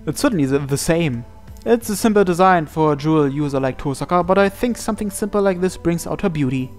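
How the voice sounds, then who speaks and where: A man's voice: a little high, lively intonation, quick pace. A man hosting a gaming video, reading visual novel narration aloud. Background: soft game music.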